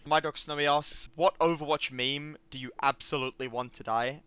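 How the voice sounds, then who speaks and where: A man interviewer with a crisp, bright voice. He reads out questions and chats in a casual, informal way. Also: fairly high pitch, fairly even intonation, normal speed. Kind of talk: casual interview chat reading out viewer questions.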